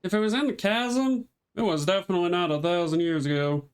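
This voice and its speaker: A man's voice: high voice, fairly even intonation, fairly quick, smooth. A man giving casual, off-the-cuff commentary as he streams a game. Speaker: gameplay streamer.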